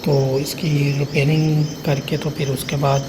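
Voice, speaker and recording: A man's voice: on the high side, monotone, normal speed. A man giving a casual hands-on how-to demonstration, explaining step by step with frequent check-in phrases. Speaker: tutorial host showing an intercom installation. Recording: clearly noisy room recording.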